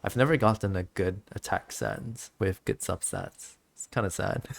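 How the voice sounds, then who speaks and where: A woman's voice: very deep voice, unhurried, gravelly. A woman doing casual, chatty, giggly gameplay commentary as a game streamer. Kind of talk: casual gameplay commentary, reading dialogue aloud.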